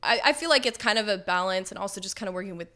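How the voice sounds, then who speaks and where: A young woman singer-songwriter with a low, slightly raspy speaking voice, chatting casually and giggly in an interview, full of "like" and quoted asides. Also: very fast, bright, forceful tone. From Los Angeles area. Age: young adult.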